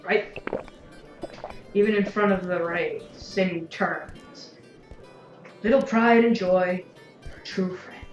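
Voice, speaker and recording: A woman's voice: on the low side, takes it slowly, long pauses, recorded from a distance. Wry merchant played by a woman, echoey. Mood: wry, confiding. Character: cynical, ambitious self-made merchant councillor. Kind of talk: audio drama dialogue. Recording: echoey, dull recording with light music.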